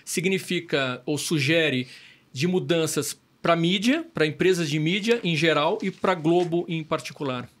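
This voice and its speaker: A man's voice: on the high side, unhurried, fairly bright tone. A man from São Paulo, a journalist co-hosting a podcast, asking long, articulate framing questions. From São Paulo state, Brazil. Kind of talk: conversational podcast interview.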